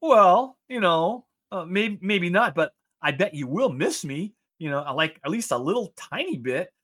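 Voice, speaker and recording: High-pitched, animated male radio host. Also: normal speed. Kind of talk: casual studio banter and interviewing.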